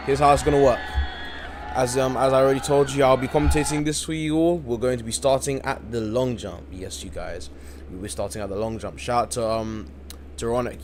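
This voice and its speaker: A man's voice: medium pitch, noisy background. A man commentating a live-streamed game event late at night, tired but hyped, repeating himself a lot. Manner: hyped and repetitive, keeps saying "you guys". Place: home, in the UK.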